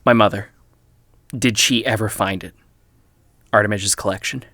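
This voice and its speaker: An actor playing an inquisitive podcaster who investigates weird history in an audio drama, speaking in a serious, probing way. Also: male voice, lowish voice, fairly even intonation, moderate pace, pushed and bright, no echo, clean studio sound. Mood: probing, insistent.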